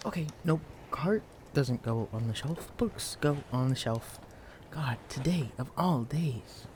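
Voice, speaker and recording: A young man playing a shy, clumsy, bookish librarian in an intimate audio roleplay, speaking in a hesitant, stammering, hushed way. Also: mid-range voice, expressive, slow pace, slight background noise. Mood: flustered, apologetic. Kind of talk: intimate audio roleplay acting addressed to the listener. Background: quiet library ambience.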